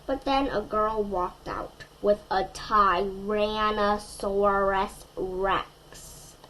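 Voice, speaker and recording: A woman storyteller reading a children's picture book aloud in an expressive way, addressing young listeners. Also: mid-range voice, drawn-out words, slight background noise, rough recording.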